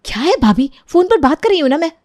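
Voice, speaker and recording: Woman playing snappy, high-pitched defiant young daughter. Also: flat delivery, quick pace, no echo, clean studio sound, lightly acted. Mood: annoyed. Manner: snappy, whiny back-talk.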